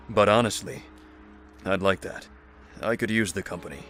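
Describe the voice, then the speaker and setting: A man's voice: fairly low pitch, unhurried, fairly bright tone, a little hiss or hum. A man playing a dry, weary survivor in an audio drama, talking in an understated, conversational way. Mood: dry, companionable. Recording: music under the voice.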